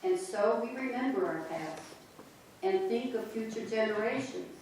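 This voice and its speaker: A woman narrating a reader's theater performance in a church, with a measured, unhurried delivery. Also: on the high side, lively intonation, darkish tone, a little hiss or hum. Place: church sanctuary. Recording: echoey church sanctuary, distant mic.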